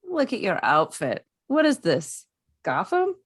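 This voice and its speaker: A woman voicing a confident male superhero in a script table read, with a wry, measured manner. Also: mid-range voice, huge pitch swings, husky, dry recording, studio quality, heavily acted. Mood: mocking.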